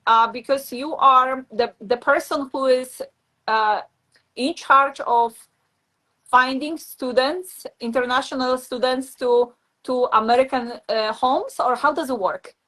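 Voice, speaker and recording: A woman with a Polish accent and a somewhat high-pitched voice hosts an informal interview. She talks in a halting, conversational way, often doubling words while she looks for her phrasing. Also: fairly bright tone. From Poland. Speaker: host. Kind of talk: casual online live interview.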